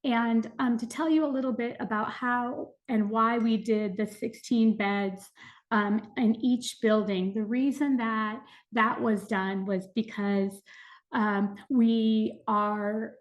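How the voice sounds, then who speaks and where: A woman's voice: on the high side, fairly even intonation, takes it slowly. A woman clinician with a cold presenting mental health services on a video call, hesitant and full of fillers. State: has a cold.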